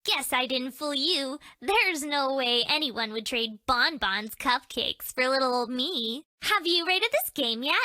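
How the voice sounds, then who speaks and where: A woman's voice: sits high, lively intonation, a little slow, hardly any pauses, pushed and bright, dry recording, studio quality, heavily acted. A woman voicing a brash, mischievous, lewd young woman in a dating game, with a teasing, theatrical delivery. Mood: smug teasing. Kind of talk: video game character voice lines.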